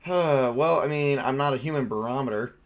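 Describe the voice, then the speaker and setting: A man running a tabletop role-playing game as game master, narrating casually and haltingly as he improvises. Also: mid-range voice.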